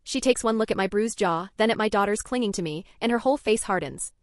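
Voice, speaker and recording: A woman narrating a scripted first-person story with tense, dramatic storytelling. Her voice sits at an ordinary middle pitch. The sound is dry and close, with no room echo. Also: fast talker.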